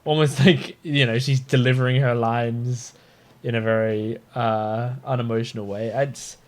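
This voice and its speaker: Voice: medium pitch, flat delivery, measured pace, a little mellow. A gamer giving casual, reflective gameplay commentary and thinking aloud. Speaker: gamer reacting on a let's play channel.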